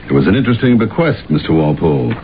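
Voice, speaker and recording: An actor playing a cool, stern police detective in an old-time radio drama, measured, dry and authoritative. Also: male voice, deep voice, big pitch swings. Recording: dull old radio recording, faint hiss.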